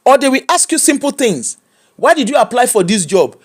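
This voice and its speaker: A man with a Nigerian accent giving opinionated commentary, animated and mocking, slipping into pidgin. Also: extremely high pitch, fairly quick, husky. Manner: animated, switches into pidgin and mimics people.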